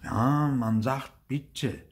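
Man as a patient, slow-spoken mother. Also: mid-range voice, animated, pitched above the performer's own voice. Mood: instructive. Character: patient mother gently correcting her child.

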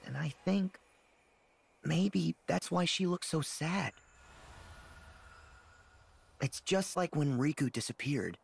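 A man playing a kind, eager teenage boy hero in an animated fantasy game. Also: fairly high pitch, takes it slowly, gravelly, pauses often, slightly rough, full character voice. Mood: gentle, reflective. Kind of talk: animated game cutscene acting. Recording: music behind the voice.

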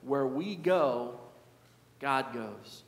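A middle-aged man preaching a sermon from a lectern in a large church sanctuary, speaking in an earnest, measured way with slow emphatic repetitions. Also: medium pitch, expressive, gravelly. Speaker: pastor. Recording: echoey church hall.

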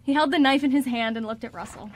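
A woman's voice: sits high, fast talker, low-quality recording. A woman narrating a scripted story for a radio drama.

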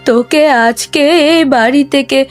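Mean cartoon mother in law, drags her words out, high pitched, always scolding. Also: faint music behind. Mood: angry, threatening. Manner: slow, commanding, scolding. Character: greedy, domineering middle-aged mother-in-law, threatening and scolding. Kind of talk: Bengali cartoon voice acting.

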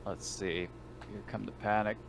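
A man with a very low, gravelly voice chats as a player in a tabletop game. He is blunt and sweary, keeping his remarks short, with faint noise in the background. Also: level tone, moderate pace. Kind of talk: casual chat during a tabletop game.

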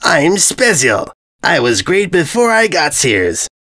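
A man's voice: fairly high pitch, big pitch swings, slow, bright, forceful tone, voice pushed up, exaggerated voice. A man voicing a boastful, dim, petulant young invader who speaks self-importantly in garbled broken grammar.

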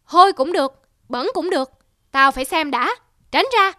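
A woman's voice: high voice, normal speed, sharp tone, dry recording, clean studio sound. A woman voicing a greedy, pompous middle-aged male mandarin in a cartoon, scolding and blustering.

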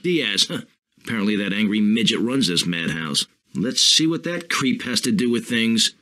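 A man's voice: lowish voice, very animated, moderate pace, sharp tone, dry recording. An actor playing a cynical, impatient American gangster in his thirties, curt and sardonic, in a video game cutscene.

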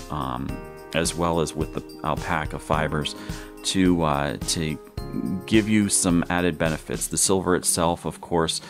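A young man sitting at a table, casually reviewing outdoor gear with a relaxed, filler-heavy way of talking. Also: low-pitched. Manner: relaxed, many "uh" fillers, plain explanatory. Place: indoors at a table. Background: guitar music.

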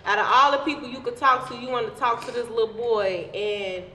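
Woman, podcast host, slightly high voice, emphatic, argumentative, casual group debate, roomy echo. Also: brisk, a loud crowd. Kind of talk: casual opinionated group discussion. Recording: echoey room with background noise.

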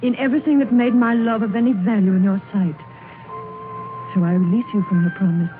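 A gentle young fiancée played by a woman speaks with measured, earnest sorrow. The style is 1930s radio acting, in a narrow vintage broadcast sound with music under it. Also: mid-range voice, steady tone, natural voice. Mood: sad, resigned.

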